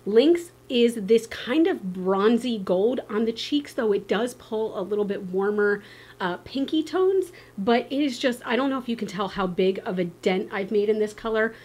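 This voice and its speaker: Bubbly, chatty woman, slightly hoarse. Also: a little high, expressive, moderate pace, a little mellow. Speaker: beauty vlogger. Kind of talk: casual vlog with sponsor read. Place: backyard outdoors, then indoor room.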